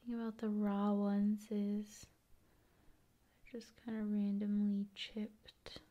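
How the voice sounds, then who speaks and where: A woman doing ASMR in a soft, often whispered voice, with unhurried, soothing phrasing. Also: mid-range voice, monotone. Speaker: ASMR video creator.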